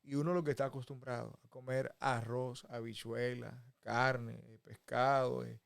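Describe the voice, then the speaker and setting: A man's voice: mid-range voice, level tone, moderate pace, creaky. A man from the Republic, teaching a Bible lesson in a folksy conversational way. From Dominican Republic. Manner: folksy, with homey examples and chuckles. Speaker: preacher.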